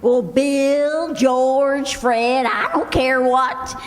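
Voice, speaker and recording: A woman's voice: high-pitched, drawn-out words, a little hiss or hum. A woman playing a lovestruck, feisty country boarding-house keeper with a rural Southern accent, in old-time radio comedy style. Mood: folksy, eager. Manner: folksy, swooning then scolding. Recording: echoey hall with long reverb.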